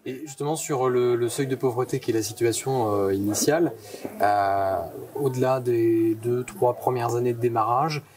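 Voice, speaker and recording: A man's voice: mid-range voice, moderate pace, darkish tone, recorded from a distance. A man asking interview questions conversationally, searching a little for his phrasing, recorded slightly away from the microphone. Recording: off-mic, crowd murmur behind.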